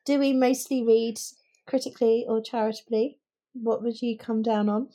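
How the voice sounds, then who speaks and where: A woman's voice: on the high side, fairly even intonation, moderate pace, dark tone. A woman with a British accent co-hosting a podcast, discussing books in an opinionated, conversational way. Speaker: podcast co-host. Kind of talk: casual book discussion.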